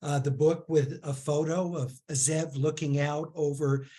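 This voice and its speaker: A man interviewing a guest in a live onstage book conversation, speaking in a measured way with fillers. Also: a little high, little pitch movement, mellow, slightly rough.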